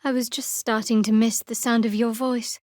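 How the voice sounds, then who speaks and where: A woman playing a guarded, sardonic young cleric of a dark goddess in a video game, with a dry, wry, measured delivery. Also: a little high, little pitch movement, no room sound, lightly acted. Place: studio.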